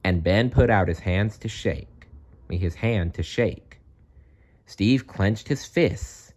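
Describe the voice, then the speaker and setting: A man's voice: on the low side, takes it slowly, a little mellow. A man reading a children's story aloud as a storyteller.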